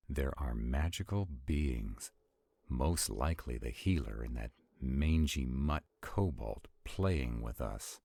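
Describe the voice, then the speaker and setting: Guy doing an arrogant stubborn vampire for a roleplay audio, slow and gravelly, super husky, kinda irritated. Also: extremely low pitch, darkish tone, no room sound, full character voice. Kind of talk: roleplay audio drama acting.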